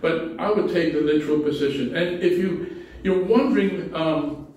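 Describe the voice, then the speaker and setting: A man's voice: on the high side, moderate pace, darkish tone. A middle-aged man with a Spanish accent, preaching a conversational sermon from a pulpit, often restarting his sentences. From Spanish-speaking country. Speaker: pastor. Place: small church hall with low ceiling. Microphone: pulpit mic, far camera. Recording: echoey hall from a distance.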